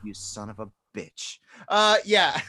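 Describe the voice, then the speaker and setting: A man's voice: on the high side, bright, forceful tone. A man in his forties telling a rambling, profane story in casual podcast chat, peppering it with "dude" and "man". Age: 40s. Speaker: podcast co-host.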